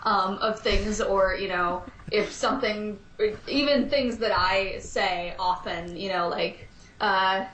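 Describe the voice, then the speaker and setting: Lady being interviewed, casual halting talk, very roomy sound, slight noise behind. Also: medium pitch, fairly bright tone. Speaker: podcast guest, audio drama maker.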